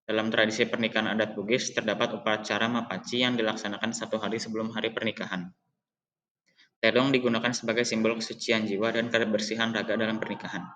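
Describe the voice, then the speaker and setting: A young man, a high school student, reading a school presentation aloud as an even, list-like recitation with a few slips and restarts. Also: fast. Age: young adult.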